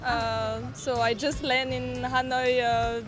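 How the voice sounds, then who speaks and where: A French woman with a French accent, introducing herself casually and a little haltingly in a street interview. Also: high voice, level tone. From France. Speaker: tourist interviewee. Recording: music over outdoor street recording.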